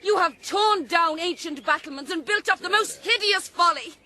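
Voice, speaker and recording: A woman's voice: very high-pitched, normal speed, sharp tone. A woman playing a proud, wronged aristocratic lady, imperious and indignant, in a period radio drama. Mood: scornful, defiant.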